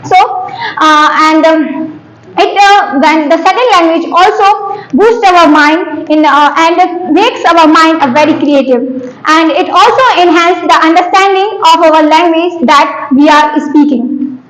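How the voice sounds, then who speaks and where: A woman's voice: extremely high pitch, measured pace, a bit bright, echoey room, rough recording. A young Indian woman, a native Hindi speaker, giving a prepared classroom speech with many hesitations and restarts. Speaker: student.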